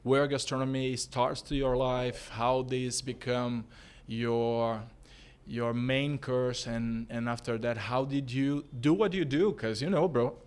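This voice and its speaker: A man with a Brazilian Portuguese accent, a native Portuguese speaker, hosting a casual podcast interview in informal, halting English. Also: mid-range voice, steady tone, darkish tone, smooth. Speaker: podcast host.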